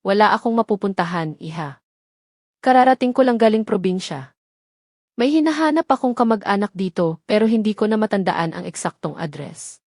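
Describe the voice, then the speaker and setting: A woman's voice: mid-range voice, expressive, moderate pace, a little mellow, no echo, clean studio sound. Elderly provincial woman, played by a female narrator, humble, weary and grateful, for audio drama. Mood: lost, weary. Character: tired, grateful old woman in her seventies from the province.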